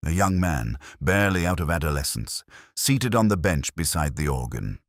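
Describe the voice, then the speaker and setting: A man with an extremely low voice narrates a detective mystery in the first person. His delivery is measured and reflective, with a literary turn, yet the pitch moves around expressively. The sound is dry and close, free of room echo. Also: big pitch swings.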